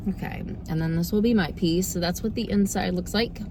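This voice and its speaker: A young woman with a slightly hoarse, under-the-weather voice, chatting casually and informally as she hosts a food review vlog. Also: lowish voice, a little fast, noisy background. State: slightly hoarse, getting over a cold. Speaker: host of a cookie review video series.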